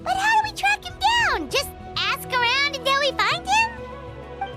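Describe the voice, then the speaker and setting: A woman voicing a small, excitable fairy girl companion in a video game, childlike and inquisitive. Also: very high voice, measured pace, loud music behind the voice, heavily acted. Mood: curious.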